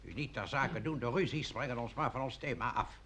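A man's voice: medium pitch, expressive, a little fast, natural voice. A man playing an exasperated, formal village mayor in a radio play, insistently keeping order. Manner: insistent, formal, repeats himself to restore order. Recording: old radio recording, background hiss.

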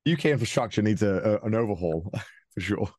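A man's voice: lowish voice, animated, a little fast, darkish tone, no echo, clean studio sound. A young man from Wales with a Welsh accent, talking casually as an interview guest, rambling with restarts and light chuckles. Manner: rambling, frequent restarts and fillers, chuckles often.

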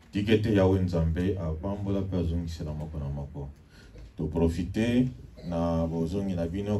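A man's voice: deep voice, dark tone. A man giving formal church announcements and leading call-and-response during a service. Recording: distant mic with faint noise.

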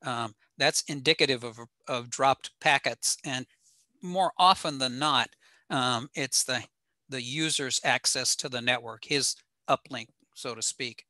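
Older man presenting, bright close voice. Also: medium pitch, unhurried, no room sound. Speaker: presenter at an amateur radio talk. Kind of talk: informal technical slide presentation. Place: small room at home, on a video call.

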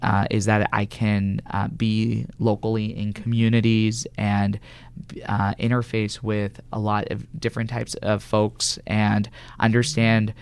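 Gravelly, low-pitched man from California. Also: completely flat delivery, unhurried. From California, United States. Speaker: guest, government health official. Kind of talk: conversational interview answers. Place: radio studio.

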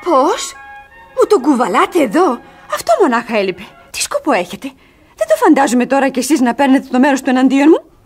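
A spirited young heroine of a classic radio farce. Her high voice moves in wide, animated sweeps. She is cunning and ardent, declaiming with theatrical emphasis. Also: faint music behind. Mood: feigned indignation. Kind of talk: Greek radio theatre comedy acting.

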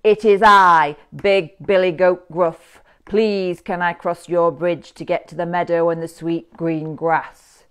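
A woman's voice: on the low side, fairly even intonation, takes it slowly, few pauses, clean tone. A woman voicing a big, bold billy goat in a children's story, firm and proud.

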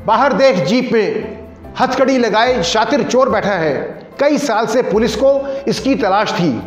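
A man's voice: high-pitched, expressive, takes it slowly, faint music behind. A man voicing a wily, domineering corrupt police chief in a story, scolding and commanding. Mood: bullying. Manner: scolding, commanding, falsely sympathetic. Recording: roomy echo, dull lo-fi sound.

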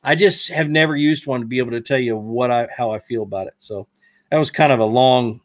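A man's voice: medium pitch, fairly quick. A man in his thirties with a Southern US accent, hosting a fishing live stream and casually answering viewer questions in a rambling, self-correcting way. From Georgia, US. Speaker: fishing channel host. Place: indoor studio room, brick wall. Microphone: desk mic in front.

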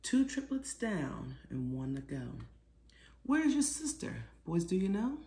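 A middle-aged woman reading a children's storybook aloud expressively, pausing to put questions to her young listeners. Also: on the low side, very animated, mellow. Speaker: children's author reading her own book. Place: small living room, seated on a sofa. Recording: roomy indoor echo.

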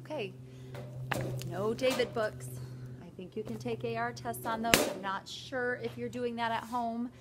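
A woman in her forties, a teacher, reading a picture book aloud from indoors and pausing to explain the pages, with a slow, emphatic parent's voice for the scolding lines. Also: a little high, steady tone, heavy background noise. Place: indoors, small room before a plain wall.

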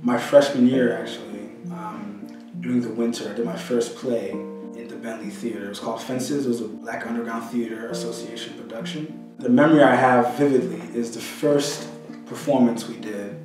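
A young man, a graduating student, telling a memory in a halting way, repeating words. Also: medium pitch, steady tone, very echoey, far from the mic, music in the background, poor audio. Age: young adult. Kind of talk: storytelling in an interview.

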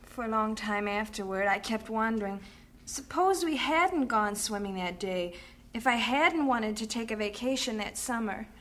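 An actress as a grieving young widow. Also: female voice, fairly high pitch, normal speed, close to an everyday voice. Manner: quiet, grieving but composed. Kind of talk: radio drama acting.

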